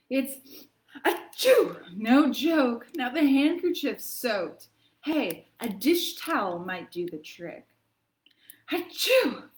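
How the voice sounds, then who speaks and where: A woman's voice: on the high side, roomy sound. A woman voicing a dramatic, frantic cold sufferer in a theatrical, pleading reading of a children's poem. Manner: theatrical, pleading, with loud fake sneezes.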